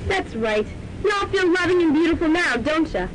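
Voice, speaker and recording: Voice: lively intonation, normal speed. An actor playing a bossy, scheming female police sergeant villain in a student radio drama, with a commanding, gloating delivery. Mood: commanding, coaxing. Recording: noisy amateur radio drama tape, rumbling.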